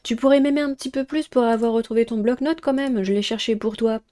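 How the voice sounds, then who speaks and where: A woman's voice: on the high side, fairly even intonation, fast talker, warm tone. A young woman hosting a gaming video, reading a visual novel aloud and commenting in a chatty way.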